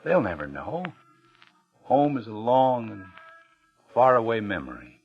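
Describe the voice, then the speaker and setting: An actor playing a guarded, smooth-talking, hard-boiled man in an old noir radio drama. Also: lowish voice, very animated, unhurried, exaggerated voice. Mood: earnest. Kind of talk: 1950s radio drama acting with noir narration. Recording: dull old radio recording, music underneath.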